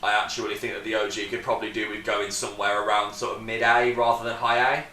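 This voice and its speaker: A man's voice: on the low side, level tone, fast talker, pushed and bright. A man chatting casually in a friendly debate, hedging and conciliatory, often repeating himself. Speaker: friend giving opinions on rankings. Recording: echoey room, distant from mic.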